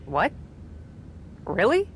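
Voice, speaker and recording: A woman voicing a cocky young female wrestler in a game, breezy, flippant and quick to defend herself. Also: medium pitch, huge pitch swings, slight background noise, full character voice. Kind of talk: game cutscene dialogue.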